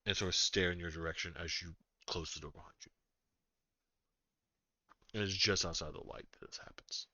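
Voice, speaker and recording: Low, bright-edged male game master, halting delivery, dry, dull lo-fi mic. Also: steady tone, no echo. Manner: halting, many ums and restarts. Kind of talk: narrating a tabletop game over voice chat.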